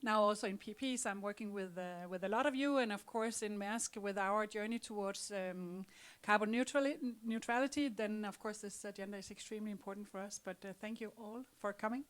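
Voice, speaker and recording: A woman's voice: mid-range voice, fairly quick. Friendly woman introducing herself. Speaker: panel speaker. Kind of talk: self-introduction.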